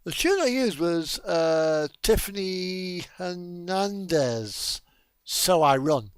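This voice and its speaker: A man with a high voice and very animated intonation talks casually about gaming. He rambles along in a self-amused manner. The sound is dry and close, with no room around it. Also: slow pace, smooth, no room sound. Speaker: video game streamer.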